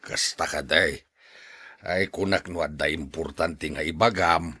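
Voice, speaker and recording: A man's voice: deep voice, measured pace, pushed and bright, husky, dry recording, studio quality, exaggerated voice. An actor playing a cautious, no-nonsense older village man in a radio drama. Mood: wary, gruff.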